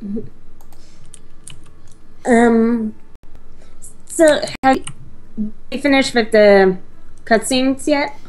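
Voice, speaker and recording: A woman's voice: on the high side, extremely slow, long pauses, darkish tone, poor audio. A woman chatting casually as a co-player during a let's play of an online game. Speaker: co-player, guest in gaming video. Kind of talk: casual chat while playing an online game.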